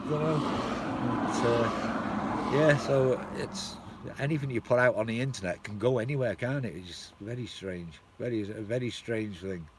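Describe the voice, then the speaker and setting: A man's voice: mid-range voice, takes it slowly, pauses often, background noise. A man with a North Welsh accent tinged with Shropshire, chatting casually and rambling on a live stream outdoors, swearing and chuckling as he goes. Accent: North Welsh with a hint of Shropshire. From North Wales.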